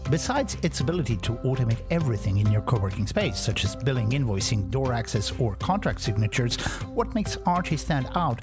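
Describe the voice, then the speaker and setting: An announcer reading a scripted sponsor message with a smooth, promotional delivery, at a middle pitch. Music plays clearly underneath the whole read.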